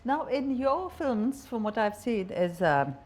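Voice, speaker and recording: A woman's voice: fairly high pitch, very animated, slow pace, a little mellow, a little hiss or hum. A woman with an Indian accent interviewing as a journalist, asking layered questions and rephrasing as she goes. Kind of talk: conversational interviewing.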